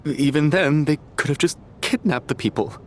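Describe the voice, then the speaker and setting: A man's voice: fairly high pitch, normal speed, slight background noise, close to an everyday voice. An actor playing a frightened, restless young male guest who talks in an agitated, pleading way. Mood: distressed. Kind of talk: video game voice acting.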